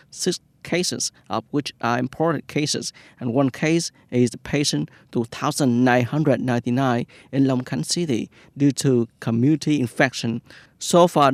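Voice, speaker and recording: A man's voice: medium pitch, no echo. A man with a Vietnamese accent, a native Vietnamese speaker, presenting scripted news in English.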